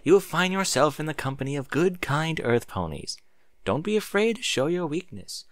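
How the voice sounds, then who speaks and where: A man voicing a kindly, wise pony princess in a storybook, gentle, measured and reassuring. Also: fairly high pitch, big pitch swings, no room sound, studio quality. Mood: gentle, encouraging.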